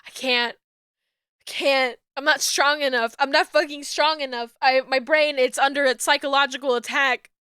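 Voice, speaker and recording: A woman's voice: high-pitched, steady tone, moderate pace, pushed and bright, no echo, studio quality. A woman streamer reading a game aloud with playful, goofy commentary.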